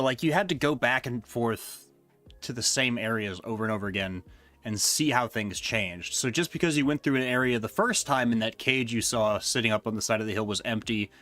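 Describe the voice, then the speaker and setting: Hoarse man rambling on a live stream. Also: mid-range voice, fairly bright tone. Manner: rambling, hesitant, many fillers. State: losing his voice. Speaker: streamer ranking games he reviewed. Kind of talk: casual live-stream chat.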